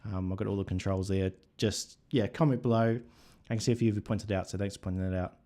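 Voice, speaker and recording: A man with a New Zealand accent hosting a casual livestream chat, relaxed and thinking aloud with plenty of fillers. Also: on the low side, fast. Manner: relaxed, thinking aloud with many fillers, reading viewer comments. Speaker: livestream host.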